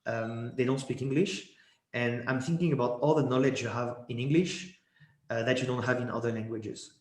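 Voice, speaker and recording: A man's voice: medium pitch, fairly even intonation, moderate pace, darkish tone. Young guy rambling through an interview, restarts a lot, kinda roomy sound. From Switzerland. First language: French. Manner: rambling, frequent restarts and fillers. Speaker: guest. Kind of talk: casual interview conversation. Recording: echoey room.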